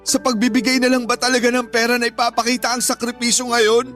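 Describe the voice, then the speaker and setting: A man's voice: very high voice, flat delivery, fast, fairly bright tone, faint music behind. A man acting a wounded, devoted young boyfriend in a Filipino radio drama, with a pained, earnest delivery. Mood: hurt, tearful. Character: wounded, devoted young working-class boyfriend, pleading and proud.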